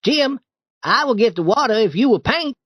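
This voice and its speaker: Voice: mid-range voice, animated, moderate pace, fairly bright tone, slightly rough, no room sound, pitched above the performer's own voice. A storyteller voicing a clever, mischievous young boy who coaxes and persuades. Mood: coaxing. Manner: coaxing, persuasive.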